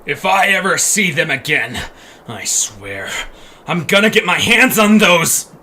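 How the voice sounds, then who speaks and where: Arrogant troll leader, bright forceful high voice, slow demanding delivery, cartoon audio drama, faint noise. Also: male voice, very animated, full character voice. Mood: indignant, demanding. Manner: pompous, demanding. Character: bossy, hungry pizza troll leader, arrogant and picky.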